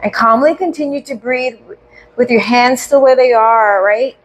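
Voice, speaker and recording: A woman leading a guided breathing meditation, speaking slowly and soothingly with gentle questions and audible sighs. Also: on the high side, rough recording.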